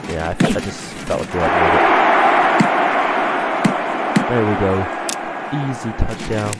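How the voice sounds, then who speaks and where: A man with a stuffy voice from a cold, commentating his own video game play and getting excited on big plays. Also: low voice, a little slow, very noisy. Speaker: gaming channel host.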